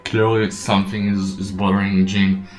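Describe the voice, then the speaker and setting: A man reacting excitedly as he streams a game in a casual way. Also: deep voice, moderate pace, soft background music. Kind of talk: casual gameplay commentary.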